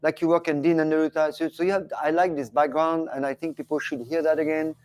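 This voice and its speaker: A man's voice: a little high, little pitch movement, quick pace, a little mellow. A man co-hosting a casual chat from his home kitchen, teasing and cutting in on his partner. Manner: teasing, interrupting. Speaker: co-host.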